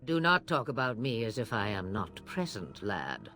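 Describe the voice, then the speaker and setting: A woman's voice: rumbling low, big pitch swings, moderate pace, bright, forceful tone, heavily acted. An actress playing a cryptic, commanding old witch of the wilds in a video game, sardonic and archaic in her phrasing. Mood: scolding. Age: older.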